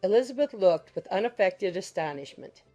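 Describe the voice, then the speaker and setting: A woman's voice: medium pitch, expressive, moderate pace, warm tone, soft background music. A woman reading scripted literary narration as an audiobook narrator.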